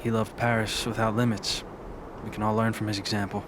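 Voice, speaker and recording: An actor playing a composed, commanding leader of a monster band in a radio drama, terse and controlled. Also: male voice, fairly low pitch, little pitch movement, brisk, noisy background, natural voice. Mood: somber. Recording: dramatic music behind.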